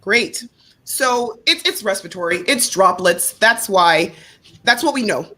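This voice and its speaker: Woman, panel host, bright voice, playful, emphatic. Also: mid-range voice, lively intonation, measured pace. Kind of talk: panel discussion hosting.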